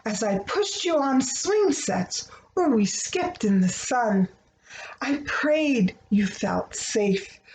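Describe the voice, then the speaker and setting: Very slow, animated woman reading a storybook. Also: on the high side. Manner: expressive, deliberate phrasing for young children. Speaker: children's book reader. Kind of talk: read-aloud storytelling of a rhyming picture book. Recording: roomy echo, faint background noise.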